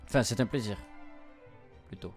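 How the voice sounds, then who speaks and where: A man's voice: fairly low pitch, normal speed. Young man, informal gaming commentary over music. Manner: informal, hesitant, stumbling while translating on the fly. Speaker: streamer, let's-play host. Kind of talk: casual playthrough commentary, translating game text aloud. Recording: music behind the voice. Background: game music.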